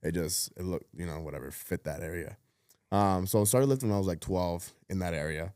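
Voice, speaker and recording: Male guest, thirties, very low voice, laid-back, filler-heavy, drops to a mutter, dead-dry sound. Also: brisk, darkish tone, smooth, no echo. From Cuba. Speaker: podcast guest. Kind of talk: casual storytelling chat.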